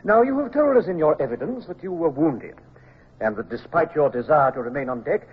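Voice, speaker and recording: An actor playing a formal, officious British court examiner, questioning in a radio drama. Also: male voice, fairly high pitch, lively intonation, fairly quick, breathy edge. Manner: officious, interrupting. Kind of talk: 1950s radio drama acting. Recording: tinny old radio recording.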